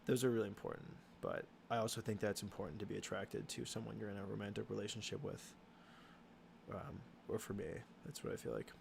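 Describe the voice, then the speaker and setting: A young man hosting a casual livestream Q and A, answering chat questions in a halting way with many ums and restarts. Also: lowish voice, level tone, creaky, noisy background.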